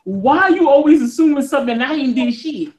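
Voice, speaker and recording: A woman speaking as a guest on a casual online call, defensive and quick with comebacks. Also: sits high, expressive, a little mellow. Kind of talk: casual chat. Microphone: online call audio.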